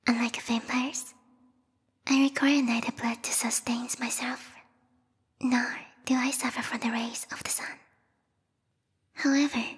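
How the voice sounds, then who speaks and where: A woman voicing a formal, haughty bat girl who serves a vampire queen, speaking in a breathy, whispery ASMR roleplay style close to the microphone, politely menacing. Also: a little high, in a large hall, heavily acted. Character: yandere bat monster girl, devoted servant of vampire queen. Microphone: close binaural microphone.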